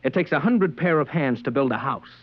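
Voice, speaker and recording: A man's voice: medium pitch, expressive, brisk, no room sound, natural voice. Man playing a stern, dry-sounding radio customs agent. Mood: dogmatic. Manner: curt, matter-of-fact, preachy. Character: stern, dogmatic male customs agent of a regimented planet. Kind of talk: 1950s radio drama acting. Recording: dry, dull old radio recording.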